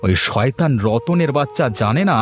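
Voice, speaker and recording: A man's voice: mid-range voice, expressive, normal speed, lightly acted. A man dubbing an earnest, hot-headed and protective young villager in a cartoon. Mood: concerned, indignant.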